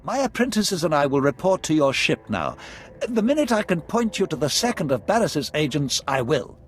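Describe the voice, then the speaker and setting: Courtly, scheming Sith lord, animated swooping voice. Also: male voice, a little high, normal speed. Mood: conspiratorial. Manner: formal, courtly, eloquent. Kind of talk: video game cutscene acting.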